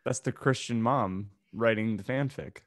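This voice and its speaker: A man's voice: medium pitch, normal speed, no echo. Guy on a comedy podcast, rambly and jokey, really dry sound. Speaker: podcast co-host. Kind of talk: casual comedic group chat.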